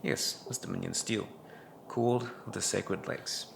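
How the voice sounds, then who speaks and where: An actor playing a troubled, hesitant priest who narrates a dark fantasy audio drama. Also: male voice, on the low side, measured pace, gravelly, background noise. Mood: nervous. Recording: echoey voice with music underneath.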